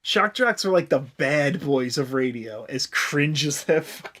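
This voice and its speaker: Foul-mouthed male comedy podcaster, slightly high voice. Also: animated, measured pace, fairly bright tone. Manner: profane, irreverent, joking. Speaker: podcast host. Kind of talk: casual comedic chat.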